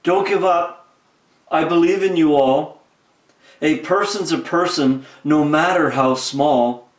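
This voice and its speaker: A big, kind-hearted storybook elephant, acted by a woman, speaks slowly and earnestly. He urges and pleads protectively, in an echoey room some way from the mic. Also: deep voice. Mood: rallying. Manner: earnest, slow, pleading and urging. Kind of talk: storybook character voice. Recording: roomy echo, distant mic.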